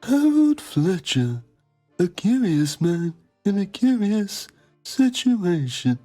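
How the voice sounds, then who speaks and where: A woman's voice: mid-range voice, very animated, slow pace, warm tone, faint music behind. A woman voicing a pompous, lecherous male journalist who narrates a mock documentary in a game with self-important drama. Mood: intrigued, mocking.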